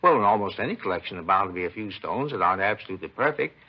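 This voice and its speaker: An actor playing an agitated elderly diamond dealer who has been robbed, rambling and repeating himself in a 1950s radio drama. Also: male voice, fairly low pitch, animated, racing, slightly rough. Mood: bitter, regretful. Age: older. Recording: dull 1950s radio recording.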